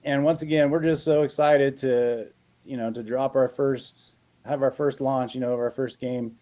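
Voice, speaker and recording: A man's voice: mid-range voice, fairly even intonation, moderate pace, warm tone. A man speaking as a game developer guest, upbeat and enthusiastic in casual chat.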